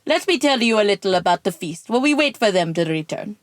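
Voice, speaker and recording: A woman playing a calm biblical mother telling a story in an audio drama. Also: mid-range voice, quick pace, dry recording, close to an everyday voice. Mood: explanatory. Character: biblical mother calmly explaining a feast.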